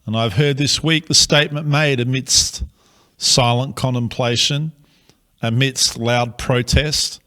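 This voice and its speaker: Aussie pastor guy, slow and earnest, bright forceful voice. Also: mid-range voice, fairly even intonation. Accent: Australian. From Australia. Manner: slow, earnest, measured delivery. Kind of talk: prepared pastoral address.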